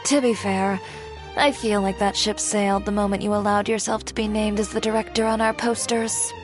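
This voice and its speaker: A woman's voice: mid-range voice, flat delivery, normal speed, lightly acted. A woman playing a dry, teasing, resigned character in a video game. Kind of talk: English video game voice acting. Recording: music underneath.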